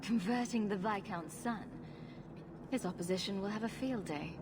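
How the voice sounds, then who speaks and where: A woman's voice: mid-range voice, normal speed, lightly acted. Lady doing a dry sarcastic rogue, noisy game audio. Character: sarcastic female rogue hero, young adult.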